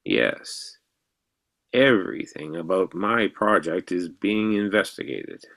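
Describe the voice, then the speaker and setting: An actor playing an elderly, intense mathematician who quizzes his pupil in a deliberate professorial way in a radio drama. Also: low-pitched, big pitch swings, vocal fry, a bit bright, heavily acted. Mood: calm assurance. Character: elderly, intense mathematician mentor, excited and probing.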